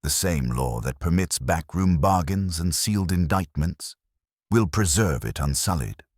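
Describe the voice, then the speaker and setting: A man plays an imperious, brooding detective in an audiobook. The voice is extremely deep and husky, and every phrase comes out dry, formal and learned. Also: lively intonation, measured pace, a little mellow, dry recording, heavily acted. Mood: grave. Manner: dry, formal, pedantic diction. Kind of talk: audiobook character dialogue.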